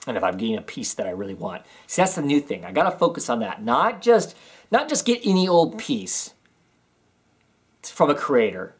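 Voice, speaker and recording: A man's voice: mid-range voice, very animated, normal speed, slightly rough. A man in his thirties chatting casually in a home vlog, rambling with many ums and restarts. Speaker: comics vlogger. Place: small home room with filing cabinets, indoors.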